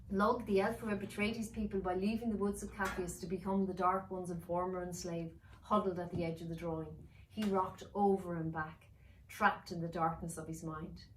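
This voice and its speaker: A woman author narrating her children's fantasy novel in a measured, expressive storytelling way. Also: on the low side. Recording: roomy, distant mic with faint noise.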